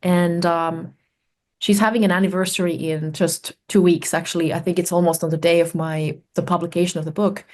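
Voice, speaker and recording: Low-voiced woman, thoughtful. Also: flat delivery, a little mellow. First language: Finnish. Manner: thoughtful, searching for words with many pauses and fillers. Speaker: guest researcher and author. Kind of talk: reflective conversational storytelling.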